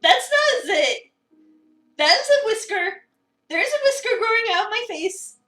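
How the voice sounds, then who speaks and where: A woman performs an overwhelmed young cafe worker telling a visual novel story with sarcastic, dramatic disbelief. The voice is high and swings widely, picked up from slightly away in a roomy space. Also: very animated, normal speed, pushed and bright, faint music behind, heavily acted. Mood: alarmed. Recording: roomy, slightly distant mic.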